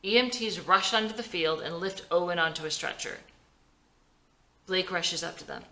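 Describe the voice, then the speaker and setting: Female script reader for screenplay narration, lowish and bright, lively intonation, echoey room. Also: moderate pace. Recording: roomy, echoey sound.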